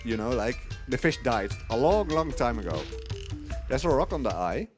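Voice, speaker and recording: Lively man commentating over game music. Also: medium pitch, moderate pace. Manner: chuckles often while explaining. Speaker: speedrunner. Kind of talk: live gaming commentary. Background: video game music.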